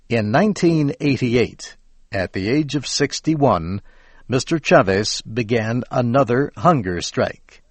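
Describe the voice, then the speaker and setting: An American man narrates an educational script at a very slow, measured pace. His pitch sits in the middle and each word is carefully enunciated. The recording is dry, with little room sound. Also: breathy edge, dry recording. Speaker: narrator of an educational program for English learners.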